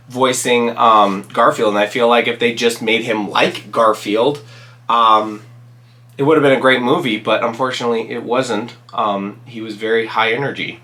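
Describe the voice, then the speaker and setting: Raspy man rambling through movie picks. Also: mid-range voice, normal speed, sharp tone. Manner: rambling, many ums and restarts. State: raspy from a cold. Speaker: channel host reviewing movies. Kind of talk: casual solo commentary.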